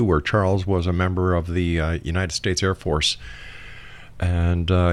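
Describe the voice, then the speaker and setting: A man's voice: low voice, a bit bright. A man hosting an interview in a conversational style, musing aloud and putting questions to a guest. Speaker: interview host.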